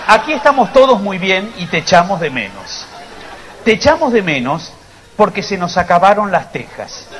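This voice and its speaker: A man's voice: high-pitched, background noise. A man playing a forgetful waiter who stammers and hesitates in a live stage comedy sketch. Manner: stammering, hesitant, full of fillers. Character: flustered, forgetful male waiter. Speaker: comic stage performer.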